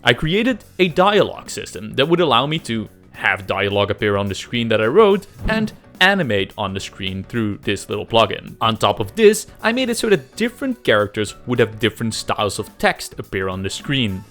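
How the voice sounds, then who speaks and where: Man, lively pitch, comedic scripted narration, exaggerated emphasis, self-mocking, sweary, light background music. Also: normal speed, few pauses. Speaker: host, amateur game developer narrating a devlog.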